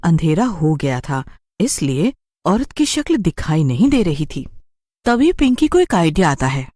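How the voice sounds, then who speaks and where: A woman's voice: fairly low pitch, big pitch swings, measured pace, dark tone, dry recording, studio quality. A woman narrating a scripted story in a dramatic storytelling style.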